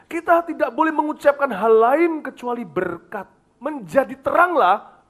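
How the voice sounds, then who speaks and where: A middle-aged man from Indonesia preaching a sermon as pastor, emphatic, moving between loud declarations and soft, confiding asides, now and then dropping English phrases. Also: high voice.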